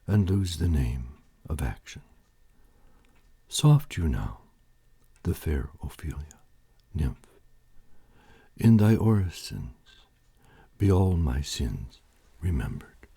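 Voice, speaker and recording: A man reciting Shakespeare monologues in a slow, theatrical and deliberate way, with weighty pauses. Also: deep voice, dark tone, slightly rough.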